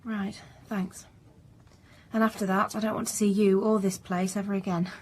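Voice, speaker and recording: A woman's voice: medium pitch, level tone, normal speed, a little mellow, close to an everyday voice. An actress playing a curt, bitter woman in a radio drama. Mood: cold, bitter.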